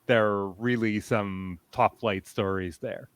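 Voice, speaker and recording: A man's voice: on the low side, fairly even intonation, slow pace, clear, resonant tone, no echo. A man from Canada speaking as a panelist in an informed, conversational fan discussion.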